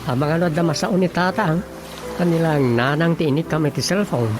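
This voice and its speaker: A man's voice: fairly high pitch, moderate pace, lightly acted. A man playing a brooding, grumbling household character in an Ilocano radio drama, with an Ilocano accent and muttering delivery. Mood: concerned. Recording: loud music behind the voice.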